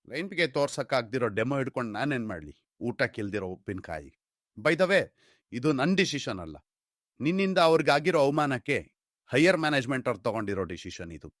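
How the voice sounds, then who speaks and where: A man's voice: mid-range voice, sing-song, fairly quick, a bit bright, dry recording, clean studio sound. A man with an Indian accent playing a cold, exasperated office manager, berating and commanding in short-film drama dialogue. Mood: dismissive, exasperated.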